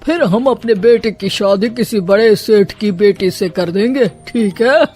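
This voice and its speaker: Casting: comic middle-aged father-in-law, actor performing, cowardly schemer, slow drawn-out exclamations, wheedling, over story music. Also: mid-range voice, a little mellow, natural voice. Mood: scheming. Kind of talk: comic moral-story voice acting.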